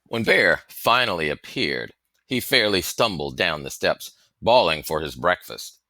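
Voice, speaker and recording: A man reading a story aloud as expressive scripted narration, with a dramatic, emphatic manner. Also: deep voice, a little slow, no room sound. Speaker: audiobook reader.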